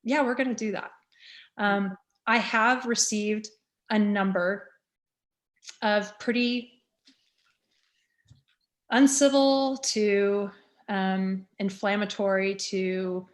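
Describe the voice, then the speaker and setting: A woman in her fifties, a school superintendent, speaking candidly and earnestly at a community meeting. She often pauses on "um" fillers. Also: medium pitch, fairly even intonation. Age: 50s.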